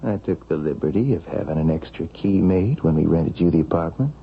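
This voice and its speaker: An actor playing a scheming, smooth-talking husband in a vintage radio drama, coolly polite and insinuating. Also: male voice, low voice, animated, fairly quick, heavily acted. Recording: dull, noisy old radio recording.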